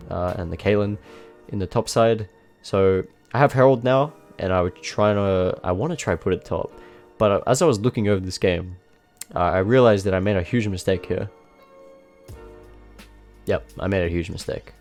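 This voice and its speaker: A man with a somewhat low voice, a little nasal from a blocked nose, talks through his own match in a loose, self-mocking way. Soft music hums faintly behind him. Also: moderate pace. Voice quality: slightly nasal. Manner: self-mocking, relaxed, frequent fillers. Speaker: gameplay commentator. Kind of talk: casual voice-over commentary on his own match. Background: faint background music.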